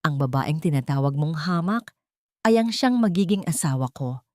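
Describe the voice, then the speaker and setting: A woman's voice: sits low, expressive, moderate pace, darkish tone, dry recording, studio quality. A woman voicing a cold, protective male tycoon with a stern, threatening manner. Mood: cold menace.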